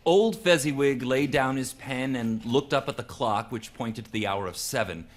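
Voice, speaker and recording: A man narrating a scripted radio drama live as a measured storyteller. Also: medium pitch. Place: live stage performance. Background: music.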